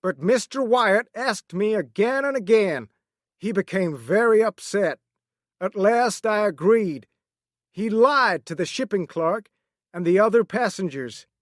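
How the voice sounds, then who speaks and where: A man voicing a stern male sea captain who shouts his orders to the passengers. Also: high voice, expressive, a little slow, dry recording, studio quality, voice pushed up. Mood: grave recounting. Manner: shouting orders over a storm.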